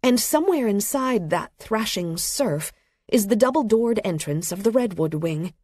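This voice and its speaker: A woman reading literary fiction as an audiobook narrator, with a measured, expressive delivery and a slightly husky voice. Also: mid-range voice, dry recording, clean studio sound. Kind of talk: scripted literary fiction narration, present tense. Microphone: close studio microphone.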